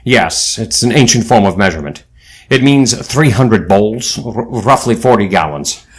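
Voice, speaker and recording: Casting: male actor as genial older scholar-leader, lowish voice, highly expressive, erudite, for adventure audio drama. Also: normal speed, lightly acted. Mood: intrigued. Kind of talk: audio drama acting and narration.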